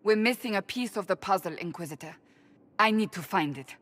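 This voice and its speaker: An actress playing a stern, earnest woman warrior of a holy order in a fantasy game, speaking in a formal, deliberate way. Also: mid-range voice, animated, a bit bright. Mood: brooding, analytical. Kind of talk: dramatic fantasy game dialogue.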